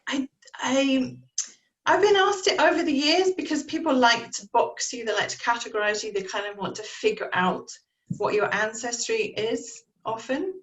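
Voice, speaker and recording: A middle-aged English woman speaking on a panel, candid and measured as she reflects. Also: on the high side. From England. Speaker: panelist, community organiser. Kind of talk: panel discussion. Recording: echoey room.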